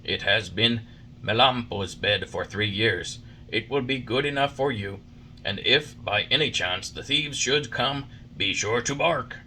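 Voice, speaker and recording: A man voicing a stern, angry farmer who speaks loudly and roughly. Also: medium pitch, measured pace, a little hiss or hum, poor audio. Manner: loud, rough, scolding. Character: gruff, stern, angry grown male farmer.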